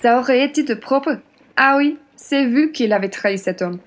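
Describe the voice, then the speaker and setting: A young woman acts a spirited French patriot woman in a radio play. Her voice sits on the high side, and she speaks plainly, with a generous but fiery temper. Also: lively intonation, moderate pace, lightly acted. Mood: outraged. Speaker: student actor.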